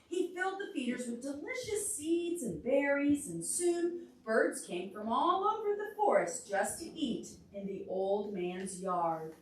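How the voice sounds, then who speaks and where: A woman reads a picture book aloud at an unhurried pace for small children. She sits well back from the microphone in a very echoey indoor room. She breaks off from the text to ask the children questions. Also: a little high. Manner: asks the children questions along the way. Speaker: children's storytime librarian. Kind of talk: animated read-aloud to young children. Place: indoor library storytime room. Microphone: distant room microphone. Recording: echoey room, distant mic, faint noise.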